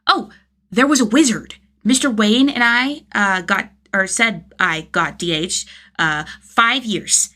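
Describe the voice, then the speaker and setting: A woman voicing an excitable, starstruck nine-year-old boy who rambles in an earnest rush for an audio story. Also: medium pitch, slow, pitched above the performer's own voice.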